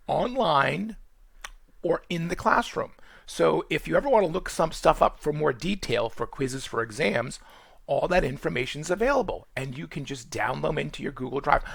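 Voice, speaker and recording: A man from Boston with a Boston accent, giving a college course orientation in quick, rambling speech full of fillers and restarts. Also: a little high, gravelly, a bit bright, breathy edge, dry recording. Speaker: college nutrition instructor. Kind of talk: screen-recorded course orientation.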